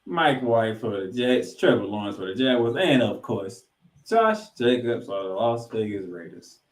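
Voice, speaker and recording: A man's voice: medium pitch, big pitch swings, a little slow. A man hosting a sports podcast, giving recap commentary with stats and emphatic delivery of names and numbers. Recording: roomy, echoing room sound.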